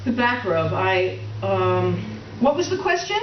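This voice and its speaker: A woman's voice: medium pitch, very animated, unhurried. A woman playing a middle-aged wife in comic readers theater, tightly wound and resentful, with brittle politeness and dry sarcasm. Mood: strained sarcasm. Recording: roomy, distant, dull, faintly noisy stage recording.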